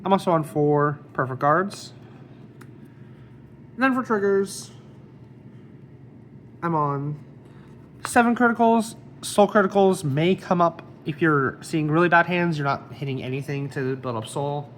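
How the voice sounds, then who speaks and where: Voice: medium pitch, measured pace, pauses often, a bit bright, slight background noise. A card game deck reviewer with a slight cold, casually explaining card effects one by one.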